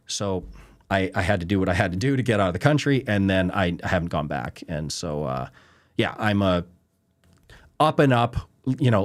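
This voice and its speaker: A man from Canada hosting a trading show, talking casually and emphatically and often asking "right?" and "you know". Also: deep voice. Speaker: trading show host answering viewer questions. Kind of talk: casual unscripted explainer.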